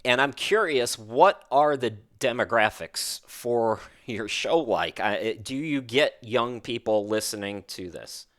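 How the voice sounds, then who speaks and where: A man's voice: mid-range voice, lively intonation, measured pace, few pauses, a bit bright. A man hosting a podcast in a casual, chatty interview style, with a self-deprecating, rambling manner. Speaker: podcast host.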